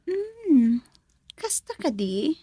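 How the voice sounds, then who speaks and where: A nosy, coy young woman who teases and insinuates. Her high, breathy voice swoops wildly up and down at an unhurried pace. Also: very animated, warm tone, no echo, studio quality, full character voice. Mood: curious. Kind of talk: radio drama dialogue.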